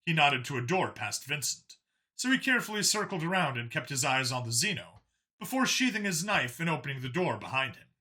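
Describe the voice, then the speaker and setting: Bright, animated male story narrator. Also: on the high side, fairly quick. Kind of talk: dramatic scripted narration of science fiction.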